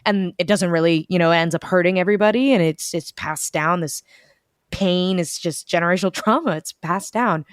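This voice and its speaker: Smooth-voiced young woman, chatty interview guest. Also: lowish voice, fairly quick, dry recording, studio quality. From United States. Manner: chatty, animated storytelling with quoted asides. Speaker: bookstore events director, guest. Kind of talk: casual interview over video call. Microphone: video call.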